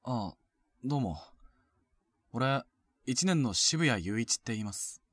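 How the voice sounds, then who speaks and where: Guy acting a blunt proud teen athlete, mid pitch, lively intonation, dry close sound, romcom audio drama. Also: measured pace, dry recording. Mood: guarded, blunt. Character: frank, proud, sporty first-year high school boy. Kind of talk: Japanese youth romantic comedy voice drama.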